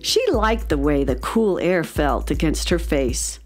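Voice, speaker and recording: A woman narrating a scripted children's story in an expressive, carefully phrased storytelling manner. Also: deep voice, a little slow, soft background music.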